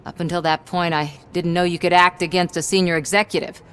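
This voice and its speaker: A woman's voice: lowish voice, flat delivery, brisk, fairly bright tone, a little hiss or hum, lightly acted. An actress playing a composed female police colleague in a video game.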